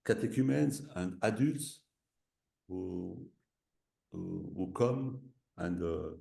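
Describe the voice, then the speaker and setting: A French-accented man speaks slowly and haltingly in English, filling pauses and slipping back into French. His voice sits low and dark with a gravelly edge. It comes through an echoey room on a video call. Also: lively intonation. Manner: hesitant, many fillers and restarts, slips into French. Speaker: visiting professor speaking on a webinar. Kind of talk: halting webinar talk in a second language.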